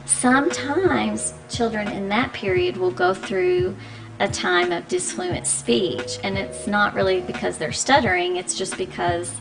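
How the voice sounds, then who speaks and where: A woman speech-language pathologist, a guest on a radio interview, explaining clearly and patiently with frequent ums. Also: mid-range voice, sing-song, unhurried. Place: radio studio. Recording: radio studio with music behind.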